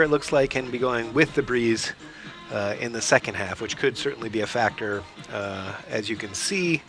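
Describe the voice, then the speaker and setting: A man with a hoarse voice from a cold, giving relaxed play-by-play for a soccer match with small restarts along the way. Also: mid-range voice. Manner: relaxed, steady, chatty, with small restarts and throat clearing. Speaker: play-by-play commentator. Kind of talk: live sports commentary. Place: outdoor soccer field.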